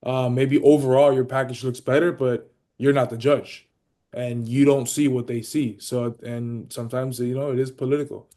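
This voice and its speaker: A man's voice: medium pitch, flat delivery, moderate pace, warm tone. Casual, profane man. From Miami, United States. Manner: loose and profane, heavy on "you know" fillers. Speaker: podcast guest, pro bodybuilder. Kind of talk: casual podcast interview.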